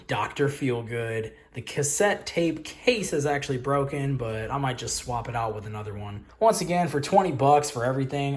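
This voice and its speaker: An American man hosting a video in a casual, slangy vlog style, chatting informally to his viewers. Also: medium pitch. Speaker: host of a video channel about reselling collectibles.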